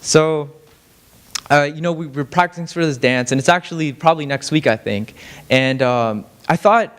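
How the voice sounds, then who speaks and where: A man's voice: fairly high pitch, clear, resonant tone. A young man giving a casual, rambling talk on stage as a student speaker, self-deprecating and full of fillers and restarts. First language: Farsi. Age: young adult. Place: lecture stage.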